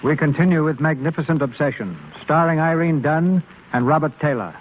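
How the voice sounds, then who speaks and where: A man's voice: fairly high pitch, fairly quick, a little hiss or hum. A man hosting and narrating a radio drama in a formal, dramatic 1930s scripted style. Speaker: host and narrator. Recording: muffled 1930s radio with loud music. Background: loud music.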